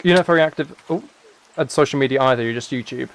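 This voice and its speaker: Young man, British accent, medium pitch, casual live-stream chat, rambling, thinking aloud. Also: quick pace, slight background noise, rough recording. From United Kingdom.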